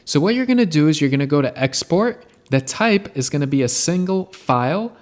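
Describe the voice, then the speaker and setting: A man hosting a casual software tutorial, explaining each step in a conversational way. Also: a little high, animated, brisk. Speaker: tutorial host.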